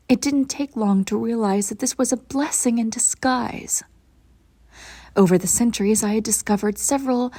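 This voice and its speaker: An ancient evil empress acted by a woman speaks in a dark, mellow voice with a gravelly creak. She is regal, cold and possessive, choosing formal words with arch care in an intimate roleplay monologue, her voice at times sinking toward a whisper. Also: mid-range voice. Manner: formal, measured, arch diction with breathy near-whispered drops. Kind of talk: scripted audio roleplay monologue, intimate direct address.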